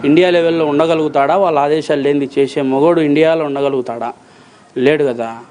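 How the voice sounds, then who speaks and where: A man's voice: on the high side, fairly even intonation, quick pace, darkish tone. A man with a Rayalaseema Telugu accent answering interview questions in a colloquial, emphatic and insistent way, repeating phrases and posing rhetorical questions. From Rayalaseema, Andhra Pradesh, India. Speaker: interview guest, approver witness in a criminal case.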